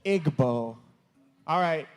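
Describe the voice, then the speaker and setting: Lively high-voiced young man hosting. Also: slow, clear, resonant tone, faint music behind. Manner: playful, stumbling over foreign words. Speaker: youth service host. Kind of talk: casual live-stream chat. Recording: long church hall reverb.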